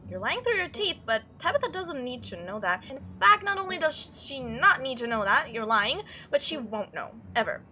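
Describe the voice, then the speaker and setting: A woman reading a visual novel aloud as a let's player, expressive and reactive, with chatty asides. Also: sits high, normal speed, hardly any pauses, a little hiss or hum. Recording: music behind the voice. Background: game music.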